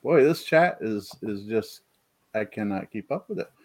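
Halting male podcast host. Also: fairly low pitch, expressive, a little mellow. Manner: halting, many fillers, reads chat comments. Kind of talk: casual livestream chat. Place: home, rural.

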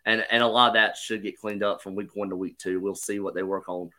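A man co-hosting a sports podcast, slightly hoarse, talking through analysis in a measured way. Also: fairly low pitch, fairly even intonation, sharp tone. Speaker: podcast co-host. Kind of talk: casual sports chat.

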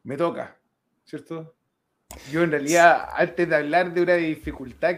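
Higher-voiced male podcast host. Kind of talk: interview hosting.

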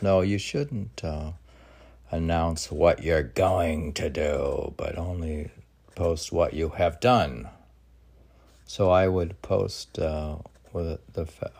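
A man in his sixties, a poet, talking casually and reading poems in a halting, musing, gravelly way. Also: sits low, a little mellow. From United States. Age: 60s. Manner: halting, musing, talks in slow fragments. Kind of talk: casual rambling talk mixed with poetry reading.

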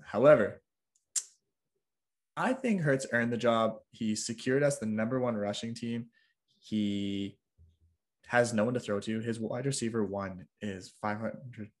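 Low-voiced man riffing on football. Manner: joking, opinionated, quick back-and-forth. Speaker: podcast co-host. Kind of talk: casual sports chat.

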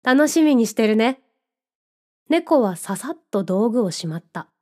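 A woman playing a gentle, dreamy young narrator in a scripted radio drama, with a soft, reflective storytelling manner. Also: on the high side, lively intonation, measured pace. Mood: warm, curious.

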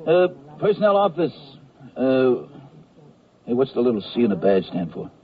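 A lazy Army medic sergeant, gruff, slangy and clueless, in a middle-pitched voice. It comes from 1970s radio comedy with a thin, tinny sound. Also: male voice, big pitch swings, moderate pace. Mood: hesitant, official. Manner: blunt, slangy, offhand. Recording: tinny phone-like old radio broadcast.

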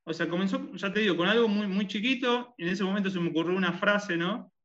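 Rioplatense male guest, bright, slightly high voice, halting, lots of fillers, dull lo-fi sound. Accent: Rioplatense Spanish. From Argentina and Uruguay. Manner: hesitant, many fillers and restarts. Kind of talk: conversational interview.

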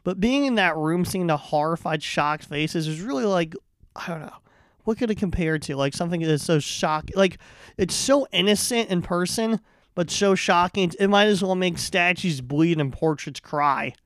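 A man's voice: high-pitched, lively intonation, normal speed, clear, resonant tone, no echo, clean studio sound. A man hosting a solo podcast, talking casually and stumbling through stories in a rambling way. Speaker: podcast host and stand-up comedian.

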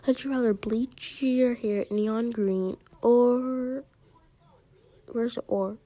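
A teenage girl vlogger with a high-pitched voice chats casually, reads questions aloud and answers them herself, and often drops into soft near-whispered asides. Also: fairly even intonation, takes it slowly.

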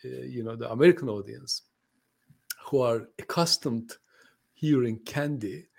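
A man's voice: mid-range voice, unhurried, creaky, a little mellow, breathy edge. Hesitant Turkish-born man in interview. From Turkey. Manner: hesitant, many fillers and restarts, friendly. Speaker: podcast guest, translation company owner. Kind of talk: conversational interview. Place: lives in the UK.

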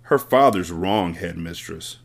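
A man voicing a soft timid young teacher. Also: low-pitched, animated, a little slow, vocal fry, heavily acted. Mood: soft pleading. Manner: soft, pleading.

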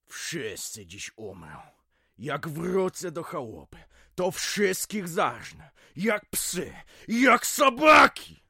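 Drunken middle-aged villager, vulgar, violent, rustic audio drama, forceful sharp voice, wildly swooping pitch. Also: male voice, sing-song, slow pace, slightly rough, no room sound, clean studio sound, exaggerated voice. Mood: menacing, bitter. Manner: vulgar rustic ranting, swearing and threatening.

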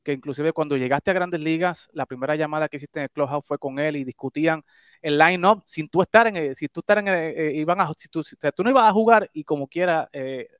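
Host guy, kinda high voice, dry mic, rambly questions. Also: fast, no echo. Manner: chatty, long questions with repeated "¿verdad?" tags. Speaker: interviewer, host. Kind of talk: interview questions.

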